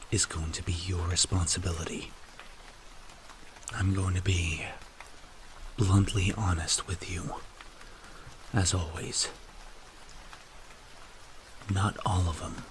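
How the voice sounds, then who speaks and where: Male actor as mafia boss, low-pitched, hushed and breathy, stern, blunt, commanding, ASMR roleplay, close mic, slight background noise. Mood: somber, frank. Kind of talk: ASMR roleplay, intimate scripted acting.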